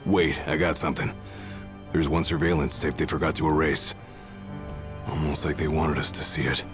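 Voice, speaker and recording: A loyal, no-nonsense game bodyguard. His voice is extremely low and his lines are terse and casual, with music clearly underneath. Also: little pitch movement, normal speed. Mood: focused. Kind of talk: video game cutscene acting. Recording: music over the voice.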